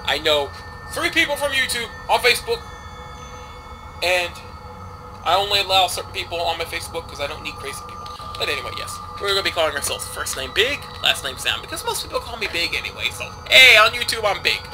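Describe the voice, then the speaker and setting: A man's voice: sits high, big pitch swings, a little fast, over music, poor audio. A man casually commentating a game he is playing, rambling and offhand with lots of fillers. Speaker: let's play host.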